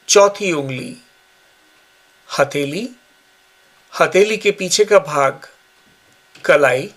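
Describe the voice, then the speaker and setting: A man giving guided yoga nidra meditation instructions in measured short phrases with pauses. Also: a little high.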